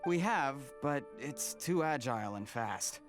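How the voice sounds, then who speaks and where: A plain-spoken villager in a video game, played by a man. The mid-pitched voice explains things in a matter-of-fact way. Music runs clearly behind it. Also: animated, measured pace, natural voice. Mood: informative.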